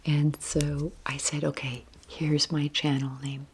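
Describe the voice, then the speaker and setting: An older woman in her sixties whispers softly and breathily, rambling informally and unhurriedly in a relaxation ASMR style, with a self-deprecating manner. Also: low voice, level tone, slow, slight background noise, poor audio. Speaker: YouTube video creator.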